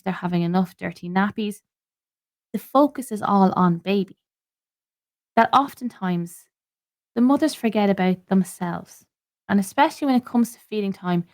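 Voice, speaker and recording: A woman's voice: medium pitch, darkish tone. An Irish woman in her thirties hosting a podcast, talking in an earnest, explanatory way while stuffy with a cold and a sore throat. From Ireland. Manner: earnest, explanatory, frequent "um" and restarts. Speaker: podcast host. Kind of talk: informative solo podcast talk.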